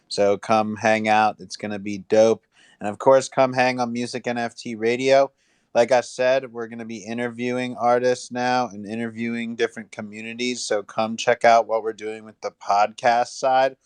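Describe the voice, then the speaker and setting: Even-toned man with a clean voice. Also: lowish voice, moderate pace, clear, resonant tone, no room sound. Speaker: podcast co-host and promoter. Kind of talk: casual promotional talk on a live online panel.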